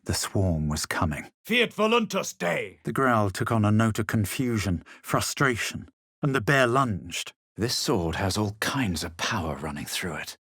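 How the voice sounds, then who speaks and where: An actor playing a self-assured, haughty fantasy adventurer in a video game, husky and dramatic in delivery. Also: male voice, lowish voice, very animated, fairly bright tone, no room sound, studio quality, full character voice. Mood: commanding, incantatory. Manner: measured, dramatic, superior. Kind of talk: scripted game character lines, first-person narration and spell incantations.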